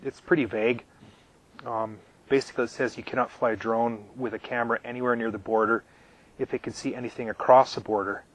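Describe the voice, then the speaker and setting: A man talking live to his viewers from his camper out in the desert, his voice worn thin and his throat cleared again and again. He speaks at an ordinary middle pitch, halting through ums and repeated words as he answers questions. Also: steady tone, rough recording. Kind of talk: live casual Q&A.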